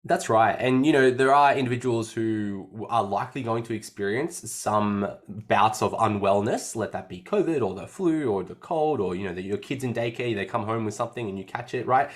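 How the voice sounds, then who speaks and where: A man's voice: fairly low pitch, normal speed, few pauses. A man, a fitness coach hosting a casual podcast, slightly nasal after an illness and chatty, often repeating phrases.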